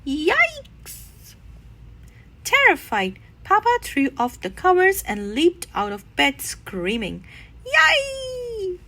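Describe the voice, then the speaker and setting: Bright, animated woman reading a storybook. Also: on the high side, unhurried, hardly any pauses. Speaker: children's read-aloud narrator. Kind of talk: expressive storybook narration.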